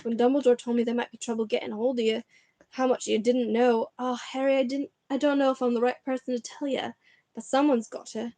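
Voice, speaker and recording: A woman voicing a huge, gruff male giant groundskeeper with rough, folksy speech. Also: a little high, little pitch movement, fairly quick, warm tone, no room sound, lightly acted. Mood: worried.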